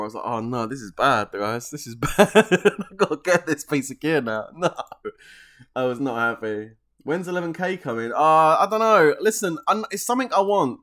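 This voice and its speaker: A man's voice: medium pitch, a bit bright. A man, chatting casually on a game live stream, joking in slang, laughing often and answering viewer questions from his desk at home.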